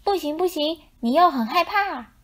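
一位女性在童话绘本里扮演勇敢又爱指挥人的小羊，语气稚气而坚决。. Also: female voice, very high voice, animated, normal speed, a bit bright, pitched above the performer's own voice. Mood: bossy. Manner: insistent, childlike scolding and demanding. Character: bold, bossy little lambs on Halloween.

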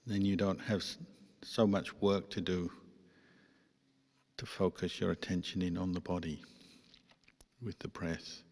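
A man, a Buddhist monk, guiding a meditation in calm, measured phrases with pauses. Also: low voice. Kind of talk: guided meditation instruction. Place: large reverberant hall. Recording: long hall reverb.

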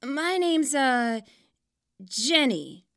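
A woman voicing a shy, quiet young girl in a story, speaking hesitantly. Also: sits high, sing-song, drawn-out words, voice pushed up, full character voice. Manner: hesitant, muttering.

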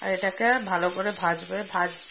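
A woman's voice: on the low side, level tone, moderate pace, vocal fry, noisy background. A young woman hosting a home cooking video, chatting through each step of a dessert recipe with fillers and asides. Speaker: cooking channel host. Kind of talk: casual cooking demonstration voice-over. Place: home kitchen at a gas stove. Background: frying and sizzling from the pan.

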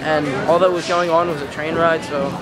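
Excitable young guy vlogging on a noisy train ride. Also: normal speed. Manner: excitable, whooping and rambling. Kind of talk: casual vlog chatter. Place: outdoors on an amusement park train ride. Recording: loud crowd and wind noise outdoors. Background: crowd chatter, wind and train rumble.

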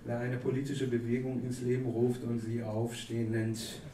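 A man's voice: mid-range voice, normal speed. Man in his 30s, Turkish native speaker, slam poet, dry satirical read, roomy live stage sound. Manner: deadpan satirical delivery, chatty asides with fillers. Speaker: poetry slam performer. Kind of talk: reading a comic slam poem. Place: theatre stage. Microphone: stand mic. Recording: echoey hall from a distance, audible noise. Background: audience laughter.

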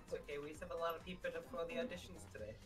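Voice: mid-range voice, level tone, racing, soft background music. A player hosting an online role-play game over voice chat, breezy and teasing.

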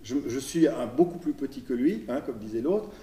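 Echoey middle-aged man, halting debater. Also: mid-range voice, animated, warm tone. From Switzerland. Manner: halting, repeats words, tags with "hein". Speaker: writer and panelist. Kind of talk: spontaneous debate reply. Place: small dim event venue with audience. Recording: echoey room, distant mic.

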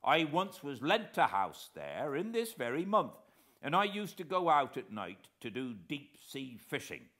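A man's voice: fairly high pitch, wildly swooping pitch, unhurried, sharp tone, voice pushed up, full character voice. A British man voicing a respectful, musing old coast guard officer in a storybook reading. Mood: helpful. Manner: deferential, musing, helpful.

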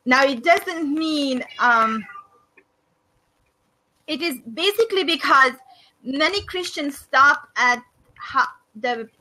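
A middle-aged woman from Hungary with a Hungarian accent, hosting a faith and business video call and speaking thoughtfully with many pauses and fillers. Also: sits high, animated, sharp tone, poor audio. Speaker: host of a faith and business discussion. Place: small room indoors. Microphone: webcam on a video call.

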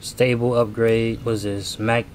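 A young American man giving casual let's-play commentary, slangy and expressive, often repeating himself. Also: mid-range voice, unhurried, slight background noise. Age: young adult. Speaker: gaming YouTuber. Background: game audio and music.

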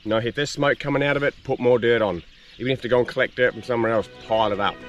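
A man's voice: mid-range voice, steady tone, racing, dull audio, faint music behind. An Australian man in his thirties, talking outdoors in an easygoing way, explaining things step by step. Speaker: camping companion. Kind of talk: casual outdoor chat. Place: outdoors in the bush.

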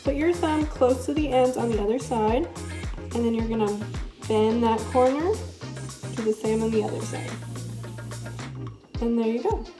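A woman's voice: a little high, moderate pace, pauses often. A young woman giving a casual, informal demonstration in a chatty way, recorded indoors in a living room. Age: young adult. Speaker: product presenter. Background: music in the background.

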